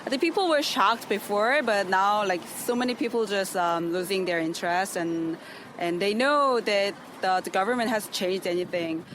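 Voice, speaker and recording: A person from Korea with a Korean accent, answering a street interview in halting English with many fillers. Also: mid-range voice, fairly bright tone, low-quality recording. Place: city street outdoors. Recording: street recording with traffic noise.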